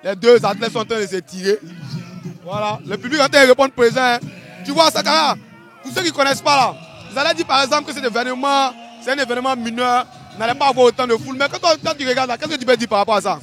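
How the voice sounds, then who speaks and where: A man with an Ivorian accent giving live, casual fight commentary in a colloquial, animated way, often repeating his phrases. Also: extremely high pitch, fast.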